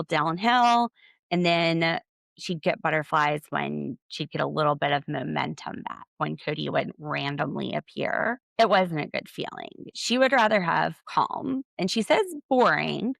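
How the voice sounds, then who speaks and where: A woman hosting a podcast alone, recapping a reality show in a chatty, sarcastic way with jokey asides and wide swings in pitch and pace. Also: gravelly, fairly bright tone, no room sound. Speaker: podcast host. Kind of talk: solo recap commentary.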